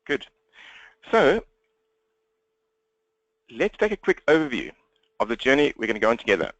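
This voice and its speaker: Fast-talking South African man presenting. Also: mid-range voice, wildly swooping pitch, dry recording, lo-fi sound. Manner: fast-talking, direct, engaging listeners with questions. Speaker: webinar presenter and coach for accountants. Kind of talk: interactive webinar presentation. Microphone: computer webinar microphone, presenter standing.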